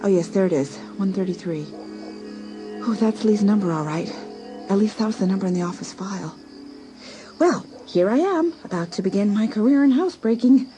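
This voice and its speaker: Woman acting a scheming teenage girl, lively and animated. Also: mid-range voice, brisk, music in the background, lightly acted, low-quality recording. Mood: scheming, defiant. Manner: theatrical, exclamatory self-talk. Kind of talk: dramatised audiobook acting, thinking aloud.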